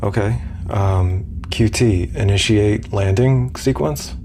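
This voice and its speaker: A man plays an earnest, awkward starship commander in an immersive audio drama. His voice is very low and gravelly. He talks haltingly, stammering and muttering to himself, with faint ambient noise behind him. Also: mellow. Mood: flustered. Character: awkward, earnest space commander, self-deprecating emissary, smitten.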